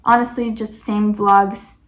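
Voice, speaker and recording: A woman's voice: medium pitch, little pitch movement, moderate pace, reverberant. A woman vlogger answering viewer questions in casual chat, chatty and peppered with "like" and self-corrections.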